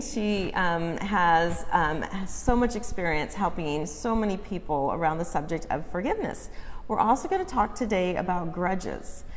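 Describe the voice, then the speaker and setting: An American woman hosts a casual interview with a mid-range voice. She speaks haltingly and gushes a little as she introduces things. Long reverb from a hall surrounds her. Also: medium pitch, slight background noise. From California, United States. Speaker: host.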